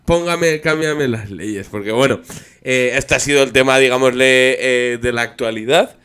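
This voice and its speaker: A man's voice: medium pitch, normal speed, fairly bright tone, clear, resonant tone. A man co-hosting a podcast with casual, ironic, joking political banter. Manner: joking, ironic, laughs often. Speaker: podcast co-host.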